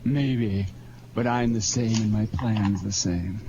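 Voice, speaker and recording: A man's voice: lowish voice, slow, lo-fi sound. A man playing a cocky, streetwise fur thief in a 1940s-style radio crime drama, brusque and slangy. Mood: smug, scheming. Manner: brusque, slangy, self-assured. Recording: noisy amateur radio drama recording.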